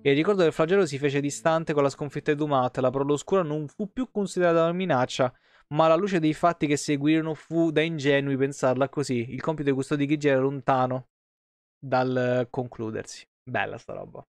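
A man's voice: on the high side, a little fast, no echo. A man, a game streamer reading lore aloud with casual, irreverent asides. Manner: irreverent, foul-mouthed asides. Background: background music.

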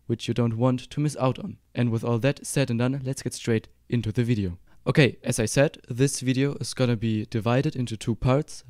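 A young man with a German accent talks through a software tutorial at an easy middle pitch. His voice is smooth and crisp. It sits close to the mic in a dry, deadened space, with upbeat, clear explanations. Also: dry recording. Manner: upbeat, explanatory, slows down for instructions. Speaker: Blender tutorial YouTuber. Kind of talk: screen-recorded tutorial voice-over.

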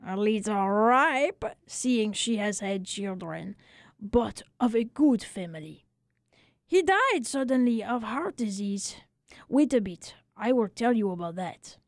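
A woman voicing a small old Frenchman, a weary, talkative barber of wry philosophy, exclaiming and lamenting in drawn-out phrases for a storybook reading. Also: medium pitch, lively intonation, no room sound, studio quality. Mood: chatty, wistful.

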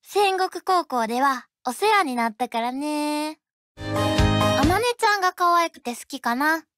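A woman voicing a cheerful, easygoing high school baseball girl in a game, with drawn-out playful sentence endings. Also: lively intonation. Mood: relaxed, playful. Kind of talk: game character voice lines. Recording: loud game music behind the voice. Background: game music.

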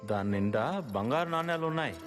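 A man's voice: mid-range voice, animated, fast, natural voice. A man playing a patient, worldly father who tells and explains a moral bedtime story in a cartoon. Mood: calm storytelling. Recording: long reverb, music behind.

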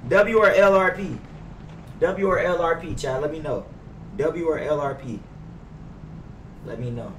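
A man's voice: a little high, a little slow, long pauses, roomy sound, far from the mic, background noise. A young American man live-streaming a roleplay game on a headset, with brash, slangy, profane trash talk. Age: young adult. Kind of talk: casual gaming banter with slang and profanity. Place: indoor gaming setup. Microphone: gaming headset.

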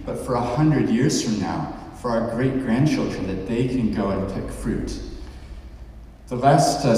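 A man's voice: medium pitch, warm tone, slight background noise. A man in his forties from New Zealand, giving a conversational conference talk and asking the audience questions. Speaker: podcast host giving a talk. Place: large hall with a stage. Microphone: handheld mic, heard from far off. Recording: echoey hall from far off.

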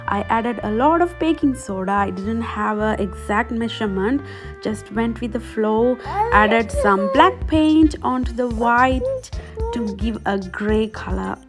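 A woman's voice: on the high side, sing-song, a little slow. A woman in her thirties, a DIY vlogger, talking in a friendly, chatty way with hesitant fillers, filmed at home by a camera in front of her. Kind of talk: casual vlog narration. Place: home, indoors, with a toddler on her lap. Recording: music playing behind her voice. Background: background music.

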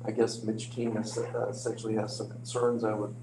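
A man's voice: lowish voice, level tone. Hesitant man with slightly crackly voice, echoey room. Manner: hesitant, halting, hedging. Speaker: village official presenting to a board. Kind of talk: informal meeting briefing. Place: indoor village board meeting room. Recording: echoey meeting room, clear hum.

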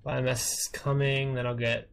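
A man's voice: mid-range voice, flat delivery, moderate pace, gravelly, smooth. A man talking casually with teammates in gaming voice chat as he calls strategy. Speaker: team player calling strategy. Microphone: gaming voice chat microphone.

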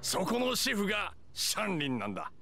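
An actor playing a stern, direct hunter chief in an anime game, blunt and firm. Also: male voice, high-pitched, huge pitch swings, fairly quick, gravelly, exaggerated voice. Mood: earnest persuasion. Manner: blunt, firm, matter-of-fact. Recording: studio voice over soft music.

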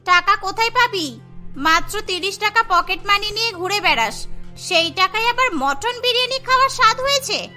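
Woman as a shrill, skeptical schoolgirl. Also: expressive, normal speed, sharp tone, faint music behind, full character voice. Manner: doubting, chiding. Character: sensible, skeptical schoolgirl friend, short of money. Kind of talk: cartoon story acting.

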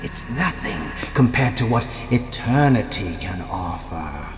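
A man's voice: lowish voice, lively intonation, a little slow. A man playing a suave, ominous vampire in a video game, silky and patronising. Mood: suave, assured. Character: suave, ominous male vampire promising eternity. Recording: echoey hall, noisy background.

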